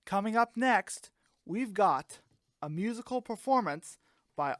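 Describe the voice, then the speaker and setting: A woman's voice: medium pitch, animated, unhurried, dry recording, studio quality. A woman announcing what is coming up on a live radio show.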